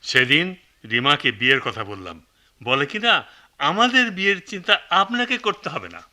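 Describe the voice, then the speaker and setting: An actor playing a greedy, deceitful middle-aged uncle in a radio play, sarcastic and short-tempered. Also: male voice, a little high, very animated, normal speed, pushed and bright, heavily acted. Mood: curt, resentful. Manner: sarcastic, blustering. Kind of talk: Bengali radio play acting.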